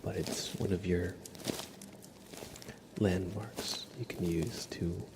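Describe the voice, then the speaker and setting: Man, soft ASMR delivery, half-whispered, heavy vocal fry, gentle and casual, clear crinkly noise behind. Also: low-pitched, fairly even intonation, slow. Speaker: ASMR livestreamer. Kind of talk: ASMR, soft relaxing talk and guided visualization.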